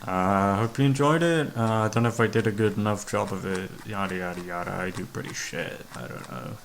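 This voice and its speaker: A man's voice: on the low side, a little fast, vocal fry, slight background noise. A young man chatting casually to his listeners, rambling in a hesitant, sweary, self-deprecating way. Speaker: voice actor, channel creator. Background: soft background music.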